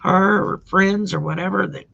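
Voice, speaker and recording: A woman's voice: medium pitch, steady tone, normal speed, gravelly, a bit bright, breathy edge, highs cut off. A woman with a Cajun Louisiana accent hosting a live stream, giving a casual, folksy tarot reading with frequent ums. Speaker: host and tarot reader.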